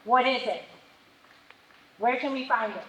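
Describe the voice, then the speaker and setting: A woman's voice: fairly high pitch, measured pace, fairly bright tone. Woman pressing pointed questions. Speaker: resident questioning at public meeting. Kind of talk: public meeting questions. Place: public meeting hall. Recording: echoey meeting hall, faint noise.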